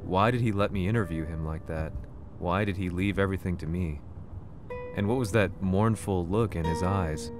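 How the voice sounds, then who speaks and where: Man acting a deep-voiced, troubled reporter. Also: moderate pace, lightly acted. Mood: somber, reflective. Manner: grave, earnest, storytelling. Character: earnest, troubled male reporter investigating a war. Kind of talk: dramatic video game narration and dialogue. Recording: loud music behind the voice.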